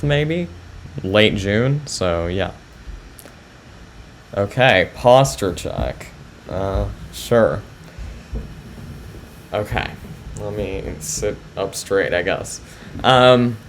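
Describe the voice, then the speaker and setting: A soft-spoken man with a low voice, chatting casually with his viewers during a chess stream. He speaks slowly and hesitantly, often pausing on fillers. A faint hum of noise sits underneath. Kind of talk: casual live-stream chat.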